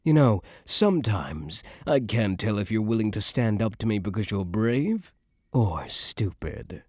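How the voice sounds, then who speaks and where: A man playing a cocky young mafia heir who is a doting but menacing boyfriend, in an intimate ASMR roleplay with slow, smug tough-guy slang. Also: lowish voice, big pitch swings, darkish tone, no room sound, clean studio sound. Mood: teasing, affectionate.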